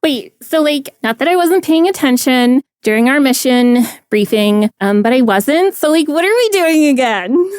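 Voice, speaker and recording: An oblivious, flighty fantasy adventurer. She rambles casually with slangy filler in a slightly high voice, recorded dry and close. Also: lively intonation, moderate pace, a little mellow, no echo. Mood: oblivious, chatty. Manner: rambling valley-girl slang, full of "like".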